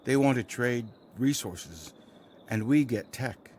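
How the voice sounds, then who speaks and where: A man's voice: medium pitch, expressive, slow, a little mellow, slight background noise. A man playing a sardonic, evasive, pragmatic trader in a post-apocalyptic audio drama. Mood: persuading, reluctant.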